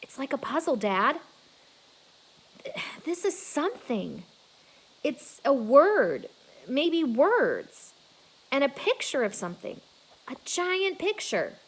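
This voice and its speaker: A woman voicing an earnest, excitable young girl in a storybook, insistent and eager. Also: high-pitched, slow pace, long pauses, pitched above the performer's own voice, heavily acted, rough recording. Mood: amazed, puzzling.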